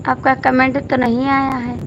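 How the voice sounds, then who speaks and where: A hoarse woman hosts a casual live stream, reading out viewer comments and coaxing people by name to comment. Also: high voice, completely flat delivery, normal speed, dull audio, noisy background. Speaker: folk singer hosting a live stream.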